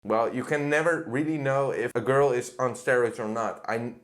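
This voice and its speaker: A man's voice: mid-range voice, steady tone, moderate pace. A man who works as a fitness coach, reacting to a clip in a blunt, profane rant. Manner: blunt, profane, ranting with laughs. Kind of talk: casual reaction commentary.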